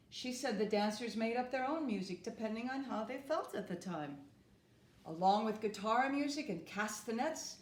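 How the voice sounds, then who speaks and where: A woman storyteller reads a children's book aloud in a fairly high voice. Her phrasing is very slow, deliberate and expressive, and the room around her is clearly echoey. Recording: roomy, echoing room.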